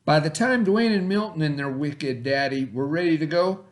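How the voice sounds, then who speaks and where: Man reading a picture book aloud to kids. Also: normal speed. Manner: folksy storybook reading, high voices for characters. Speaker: teacher.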